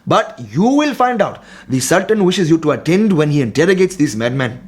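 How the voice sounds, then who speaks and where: Exasperated, amused scholar-doctor for historical radio drama: high voice, lively swings, commanding. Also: male voice, normal speed, a little hiss or hum. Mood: wryly amused. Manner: wry, commanding, theatrical.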